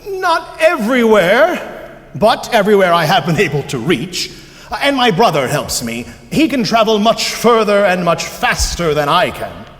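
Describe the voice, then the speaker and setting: A man playing a gentle, courtly night spirit in a stage musical, speaking in formal, lofty phrases. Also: high voice, big pitch swings, a little slow, heavily acted. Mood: earnest, reverent. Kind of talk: musical theatre staged reading. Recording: echoey hall with music behind.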